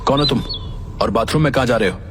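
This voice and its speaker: A man's voice: medium pitch, fast, music in the background, natural voice. Man playing an agitated, anxious young husband. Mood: suspicious. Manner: agitated, urgent questioning. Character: anxious, indignant young husband confronting a ghost. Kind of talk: Hindi animated story acting.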